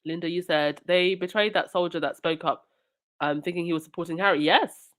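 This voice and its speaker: A woman's voice: fairly low pitch, brisk. Woman hosting a live stream with a cold. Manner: indignant, talks back to chat and supporters. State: stuffy nose from a cold. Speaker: livestream host. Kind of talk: live chat stream reading comments.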